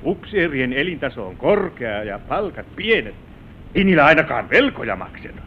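A caring but stern father in his fifties in an old radio drama. He speaks with measured gravity and wide, expressive intonation, a little high for a man. The sound is phone-thin with light noise. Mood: admonishing. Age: 50s. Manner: measured, paternal, admonishing. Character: grave, caring fiftyish patriarch of a manor. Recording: noisy, tinny old radio drama recording.

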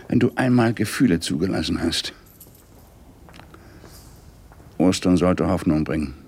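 An actor playing a hard-boiled, shaken New York police detective in a radio crime drama, weary and angry, in terse, measured phrases. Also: male voice, darkish tone, full character voice. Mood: bitter, shaken. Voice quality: deep, gravelly. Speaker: actor, narrator and lead. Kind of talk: radio crime drama, intimate first-person monologue and dialogue.

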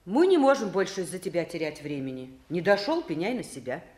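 A woman's voice: lowish voice, sing-song, fairly quick, darkish tone, roomy sound. A woman playing a stern, impatient schoolteacher in a 1960s Soviet radio play, scolding and commanding. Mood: exasperated.

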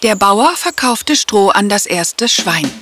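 A woman's voice: mid-range voice, animated, takes it slowly, fairly bright tone, soft background music. A woman storyteller reading a scripted fairy tale for children. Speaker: storyteller, narrator.

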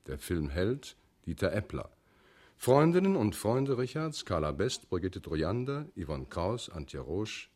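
Low male radio announcer reading out cast credits, pitch moves around a lot. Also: a little mellow.

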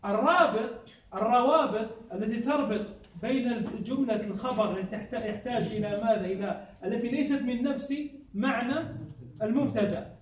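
A man's voice: very high voice, fairly quick. A man teaching grammar to a class, often stopping to quiz his students with prompt questions they answer aloud. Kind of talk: classroom lesson. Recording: echoey room, distant mic.

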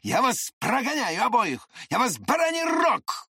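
A man voicing a spiteful, pushy young swindler with an irritable, scornful, excitable manner in an audiobook. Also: on the high side, a little slow, gravelly, husky, no room sound, studio quality, voice pushed up, heavily acted. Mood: furious. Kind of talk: dramatized audiobook character voice.

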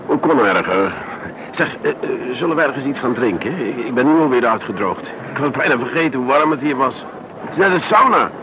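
An actor playing an excitable, talkative traveller and old friend, with a halting, exclamatory way of speaking. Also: male voice, mid-range voice, lo-fi sound, close to an everyday voice. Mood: surprised, delighted. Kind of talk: naturalistic radio play acting. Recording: noisy old radio drama recording.

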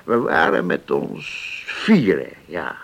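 An old seafarer in a radio drama, slow and gravelly. He is genial and sentimental, muses aloud, repeats his phrases and teases his friend with a lively, swinging pitch. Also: exaggerated voice. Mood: musing. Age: older. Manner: slow, musing, repeats himself. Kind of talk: radio play acting.

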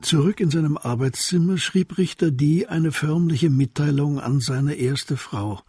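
A man narrating a radio drama as a scripted literary story, in a composed and deliberate manner. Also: fairly high pitch, a little mellow, soft background music.

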